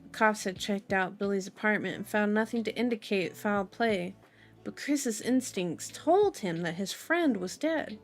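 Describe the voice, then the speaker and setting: A woman's voice: medium pitch, lively intonation, unhurried, soft background music. A woman hosting an ASMR reading of a novel, breaking into playful, informal asides. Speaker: ASMR channel host. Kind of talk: ASMR novel reading with casual side comments.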